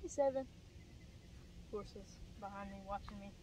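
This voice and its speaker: A man's voice: high voice, a little slow, mellow, rough recording. A young teen boy, chatting plainly through a fishing vlog outdoors by a pond. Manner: chatty, plain, explains as he goes. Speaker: vlogger. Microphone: handheld camera, shifting distance. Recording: outdoor noise, camera mic.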